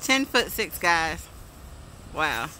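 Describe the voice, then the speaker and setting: Bright chatty woman on a phone. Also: deep voice, big pitch swings, drawn-out words, a little hiss or hum, poor audio. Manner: chatty, laughs often. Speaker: vlogger. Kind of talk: casual garden tour. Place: backyard garden outdoors. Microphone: phone at arm's length.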